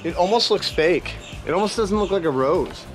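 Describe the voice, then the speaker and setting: A man's voice: high-pitched, lively intonation, moderate pace, faint music behind. A man with a Maine accent, hosting a casual vlog outdoors and chatting loosely with many fillers and restarts. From Maine, USA. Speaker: vlog host. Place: outdoors in a town.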